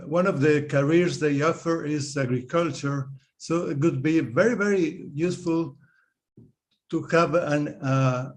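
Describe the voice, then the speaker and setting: Slow, hesitant man asking a question. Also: fairly high pitch. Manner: hesitant, polite, many fillers. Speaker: audience member asking a question.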